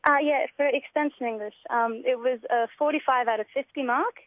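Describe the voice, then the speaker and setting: A young Australian woman talks over a tinny, narrow phone line. Her voice is fairly high and her manner is keen and enthusiastic, like a guest on a casual radio chat. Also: steady tone, a little fast, no room sound. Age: young adult. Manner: enthusiastic, gushing. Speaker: interview guest, student writer. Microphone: telephone call.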